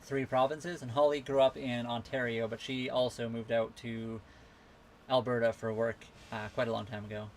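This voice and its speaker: A man's voice: mid-range voice, level tone, moderate pace, a little hiss or hum. Easygoing man chatting on a livestream. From western Canada. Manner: easygoing, rambling, reading out and answering chat comments. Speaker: livestream host answering viewer questions. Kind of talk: casual live Q&A chat. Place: on a sailboat at a marina.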